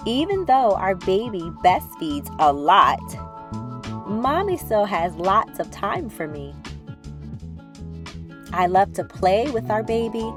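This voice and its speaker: Woman reading as an eager little storybook girl over music. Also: medium pitch. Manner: expressive, simple childlike storytelling. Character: eager little girl, a loving big sister narrating. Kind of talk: children's storybook read-aloud.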